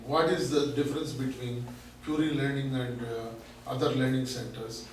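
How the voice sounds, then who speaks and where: A man's voice: medium pitch, steady tone, moderate pace. A man lectures in a big, teacherly voice, asking questions and then answering them himself. He sounds far from the mic in a very echoey room with faint noise behind him. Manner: loud, teacherly, explains with rhetorical questions. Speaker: guest speaker, learning center founder. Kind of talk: public talk to an audience. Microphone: microphone at a distance.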